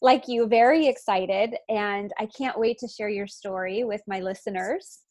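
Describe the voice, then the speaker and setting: A woman's voice: mid-range voice, normal speed, darkish tone. A woman hosting a podcast, reading a guest introduction and then chatting eagerly with her guest. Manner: upbeat, eager. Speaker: podcast host. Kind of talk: scripted intro then casual interview.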